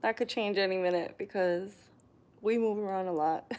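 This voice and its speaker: A woman's voice: mid-range voice, moderate pace, darkish tone. A young woman from New York chatting casually on a live stream, hesitant with many ums, in her living room. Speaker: vlogger reading live chat comments. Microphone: camera on a tripod.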